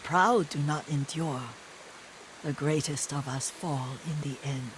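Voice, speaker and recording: An actress playing a gentle, wistful elderly woman, a lord's devoted caretaker, in a video game, with a soft, breathy, reflective delivery. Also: deep voice, music in the background, poor audio. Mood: gentle, weary. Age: older. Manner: slow, tender, reflective. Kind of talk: dramatic video game acting.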